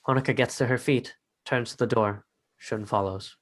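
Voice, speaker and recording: A man reads scripted narration in a medium-pitched voice. He serves as narrator, delivering stage directions for a dramatic reading. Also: steady tone, moderate pace.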